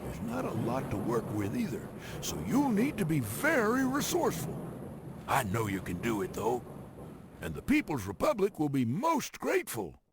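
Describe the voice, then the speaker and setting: An actor playing a wry, folksy, teasing railroad narrator in a game cutscene, giving theatrical briefings with drawn-out emphasis. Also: male voice, mid-range voice, huge pitch swings, noisy background. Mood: wry, ominous. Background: music.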